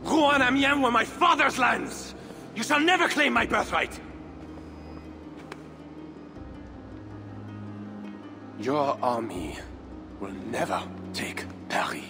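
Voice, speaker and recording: An actor playing a proud, defiant Frankish count in a video game cutscene, measured and haughty. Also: male voice, high-pitched, over music, exaggerated voice. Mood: furious. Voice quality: measured, haughty, lively delivery. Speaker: Frankish nobleman. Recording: game audio with clear ambient noise.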